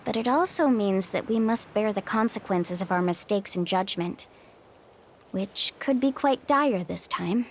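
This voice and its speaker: A woman voicing a caring young female adventure guide in a video game, earnest and expressive. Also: medium pitch, measured pace, a little hiss or hum.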